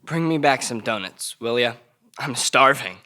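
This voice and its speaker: A man's voice: medium pitch, lively intonation, a little slow, bright, forceful tone. A man playing a defiant young murder suspect in a dramatic table read, flippant and sarcastic. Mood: sarcastic, cocky.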